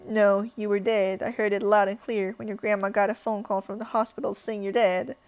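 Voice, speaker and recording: Gal voicing a raving wild aunt, yelling her lines. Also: medium pitch, level tone, fairly quick, smooth, natural voice. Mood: insistent. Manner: shouting, agitated. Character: frantic disheveled middle-aged aunt, raving.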